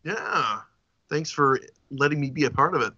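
A man's voice: medium pitch, normal speed, pushed and bright, no room sound. A man guesting on a podcast, talking in a laid-back, rambling way and laughing often. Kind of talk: casual chat. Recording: dry, dull lo-fi call audio.

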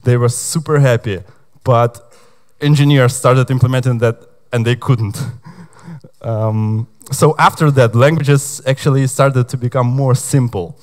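A man's voice: mid-range voice, fairly even intonation, unhurried. A young man from Ukraine giving a conference talk on stage, chatty and hesitant, with lots of fillers. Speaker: conference speaker.

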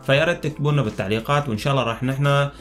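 A young man hosts a language-learning video at a very quick pace. He has a Syrian accent and Arabic as his native language. Light music plays behind his mid-pitched voice. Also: fairly bright tone. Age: young adult. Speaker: host of a language-learning channel. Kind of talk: video presenting and interviewing. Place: small indoor room.

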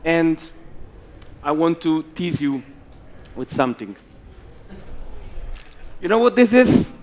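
A man with an Italian accent speaks slowly and deliberately from a conference stage, his voice sitting a little high. He leans on his words with emphatic, animated bursts. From Italy. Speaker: investor and stage host. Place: large conference hall with audience.

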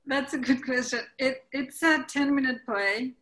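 Higher-pitched woman answering questions. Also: a little slow, fairly bright tone. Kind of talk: casual discussion. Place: indoors, video call. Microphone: video call microphone.

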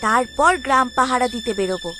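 Woman voicing a very high-pitched brave cartoon boy. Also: fast, close to an everyday voice. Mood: resolute. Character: brave young village cowherd boy, determined and devout. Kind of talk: Bengali children's cartoon dialogue. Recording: music behind the voice.

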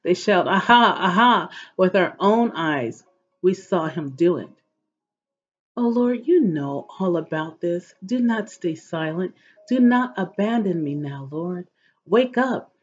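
A middle-aged woman reading scripture aloud in a measured, solemn, devotional way, with a husky voice. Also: fairly low pitch, animated. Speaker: host of an inspirational reading series. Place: living room at home, indoors. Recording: dull, lo-fi webcam audio.

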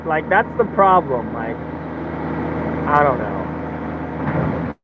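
A man's voice: on the high side, very animated, extremely slow. A man in his thirties vlogging casually from inside his truck cab, talking in a loose, rambling, laid-back way into a phone held at arm's length. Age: 30s. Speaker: truck business owner vlogger. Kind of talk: casual talking-head vlog. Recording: phone audio with engine noise.

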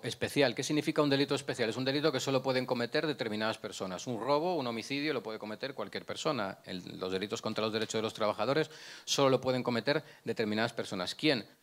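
A man's voice: mid-range voice, fairly bright tone. Man lecturing through a handheld mic. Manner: explanatory, asks and answers own questions, frequent restarts. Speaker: prosecutor giving a conference talk. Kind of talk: lecture with slides. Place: conference room, indoors. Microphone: handheld microphone.

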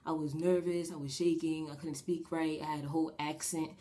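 A young woman from New Jersey with a raspy, slightly shaky voice, rambling through a casual storytime vlog with lots of fillers. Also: low voice. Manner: rambling and animated, with many fillers and quick gestures. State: shaky voice after a breathing treatment. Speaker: vlogger. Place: small bedroom, indoors. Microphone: webcam at desk distance.

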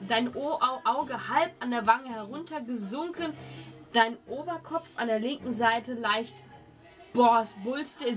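A woman narrating a scene as game master, deliberately and with dramatic pauses. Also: a little high. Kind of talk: atmospheric tabletop game narration.

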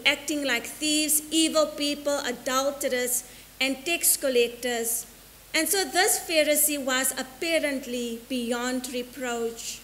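A middle-aged woman priest preaches from the altar in a high, clear voice, measured and deliberate, slowing for each quotation. Her words ring out into the long reverb of a church sanctuary. A faint hiss sits under the recording. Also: sharp tone. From South Africa. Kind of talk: sermon. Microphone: stand mic at the altar, filmed by a fixed camera.

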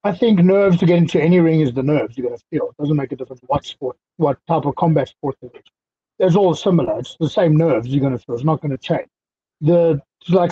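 A South African man in his thirties chats easily as an interview guest, his voice husky and sitting a little high. He speaks over a headset from a small indoor room. The call audio sounds dull and lo-fi. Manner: relaxed, chatty, laughs easily. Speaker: guest fighter. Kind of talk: casual video-call interview. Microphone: headset on video call.

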